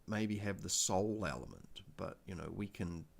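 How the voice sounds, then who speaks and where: A man with a New Zealand accent hosting a casual livestream, talking in a halting, rambling way with many fillers and restarts into a desk microphone. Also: low voice, lively intonation, gravelly. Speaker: livestream host.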